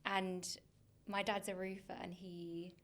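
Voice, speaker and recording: Woman with a faded Leicester accent, gravelly. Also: mid-range voice, slow pace. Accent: faded Leicester English. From Leicester, England. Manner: relaxed and chatty, many ums, trailing off quietly. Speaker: podcast guest. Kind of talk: casual interview chat.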